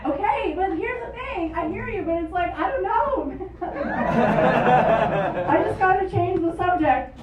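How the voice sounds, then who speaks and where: A woman whose first language is Japanese, doing a stand-up comedy set on a club stage with wry, deadpan storytelling, caught on a phone voice memo from the audience. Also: extremely high pitch, slow, over a noisy crowd. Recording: lo-fi phone recording from far off in an echoey club. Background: audience laughter.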